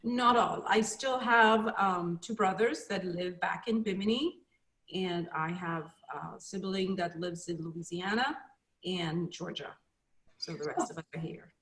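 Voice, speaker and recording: A woman's voice: fairly low pitch, a little slow, reverberant, rough recording. A woman from the Bahamas, a guest in a casual interview, who talks with easy laughs and many fillers. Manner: warm storyteller, laughs easily, hesitant fillers. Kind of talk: casual video-call interview.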